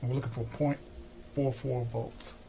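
A man giving an unscripted, hands-on repair demonstration, explaining step by step with hesitant pauses. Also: mid-range voice, level tone, gravelly. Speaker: host, electronics repair demonstrator. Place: small cluttered indoor electronics workbench. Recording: noisy workshop recording with equipment sounds.

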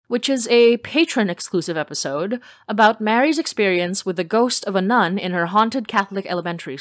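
A woman's voice: mid-range voice, a bit bright, no echo, studio quality. A woman podcast creator reading a scripted announcement in a friendly, upbeat way, addressing listeners directly.

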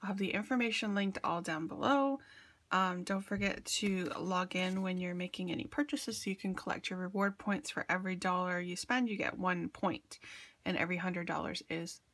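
A woman's voice: lowish voice, steady tone, moderate pace, a bit bright. Woman, sniffly from allergies, gushing crafter showing off her haul, chatty, filler-heavy. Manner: chatty and gushing, many ums. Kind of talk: casual show-and-tell.

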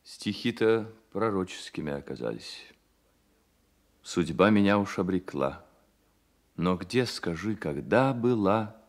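Slow, wistful poet. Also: male voice, low-pitched, full character voice. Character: wistful, gentle male 19th-century poet. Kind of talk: Soviet radio play acting.

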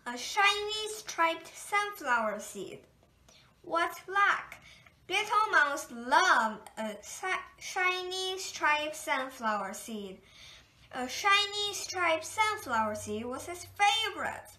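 Slow, bright-voiced woman reading aloud. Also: very high voice, sing-song. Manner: slow, gentle storytelling. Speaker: picture book reader. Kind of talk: children's read-aloud. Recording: echoey room.

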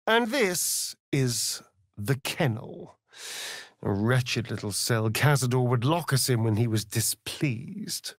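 Vain vampire rogue, British accent, sardonic, theatrical, animated pitch, unhurried drawl, fantasy game dialogue. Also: male voice, dry recording, clean studio sound. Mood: bleak. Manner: arch, theatrical, drawling, aristocratic delivery. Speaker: video game voice actor.

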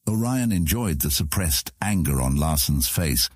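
A man with a husky voice reading scripted fantasy narration as an audiobook narrator. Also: extremely low pitch, animated, measured pace, dry recording, studio quality.

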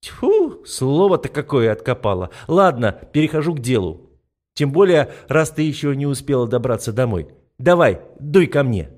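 A man's voice: mid-range voice, big pitch swings, moderate pace, a little mellow, pitched above the performer's own voice. A man voicing a brash, bossy young woman who teases her best friend in an audiobook. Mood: teasing. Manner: categorical, pushy, mocking.

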